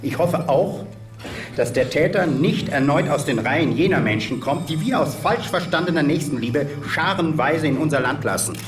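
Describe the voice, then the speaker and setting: An actor playing a grave populist politician in a radio drama, giving a measured formal statement. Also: male voice, fairly high pitch, expressive, natural voice. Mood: solemn, rhetorical. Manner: measured, solemn, rhetorical. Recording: roomy, distant mic, music behind.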